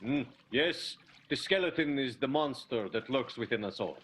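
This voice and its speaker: A man's voice: medium pitch, lively intonation, normal speed, a bit bright, slight background noise, close to an everyday voice. An actor playing a melodramatic, ardent leading man with florid, lingering delivery in an improvised radio soap opera. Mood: grandly musing. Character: melodramatic ardent silent-film leading man, jealous husband.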